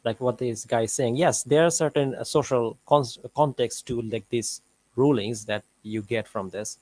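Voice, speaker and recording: A man's voice: medium pitch, moderate pace, darkish tone, no echo. A man hosting a live show, giving casual debate commentary peppered with "like" as he explains. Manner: filler-heavy, often says "like".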